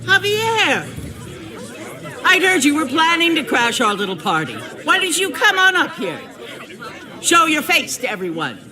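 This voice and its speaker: Slow, high-voiced, ruthless leader. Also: female voice, very animated, crowd noise. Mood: coolly menacing. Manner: theatrical, menacing, mock-sincere. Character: cold, ruthless woman leader of a survivor town. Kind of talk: dramatic video game acting.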